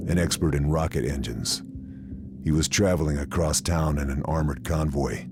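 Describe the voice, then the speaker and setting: An actor playing a grim American wartime sniper, narrating his mission in terse, deadpan game voice-over. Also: extremely low pitch, background noise. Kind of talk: scripted noir-style voice-over narration.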